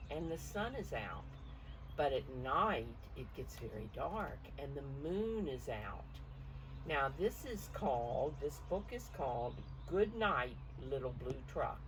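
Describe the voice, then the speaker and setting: An older woman with a low, very expressive voice talks to small children as she reads a picture book. She explains things simply and asks questions, at an unhurried pace. She is recorded from a little distance. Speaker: children's storybook reader and teacher. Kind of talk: picture-book read-aloud for preschoolers, talking to the children. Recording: distant mic with faint background noise.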